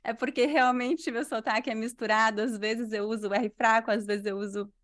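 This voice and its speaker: A Brazilian woman with a mixed Brazilian Portuguese accent, a language teacher answering interview questions in clear, unhurried speech. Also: fairly high pitch, fairly even intonation, fairly bright tone. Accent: Brazilian Portuguese, mixed regional. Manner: clear, unhurried diction, completes every phrase.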